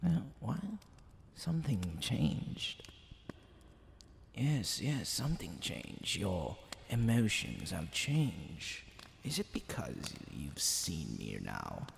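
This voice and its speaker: Seductive demon king, performed by a man, gravelly, wildly swooping delivery, theatrical drawl, heavy reverb. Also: low-pitched, huge pitch swings, slow, full character voice. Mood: smug, seductive. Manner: drawling, theatrical, with whispered asides. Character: teasing, seductive, smug male demon king. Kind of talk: ASMR roleplay. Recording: long reverb, faint background noise.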